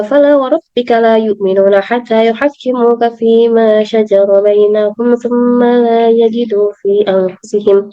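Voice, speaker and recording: Young female student, Indonesian accent, hoarse and soft-toned, careful recited delivery; dull, roomy recording. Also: mid-range voice. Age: young adult. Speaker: university student. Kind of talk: reading aloud a study presentation. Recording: dull lo-fi, slightly echoing room.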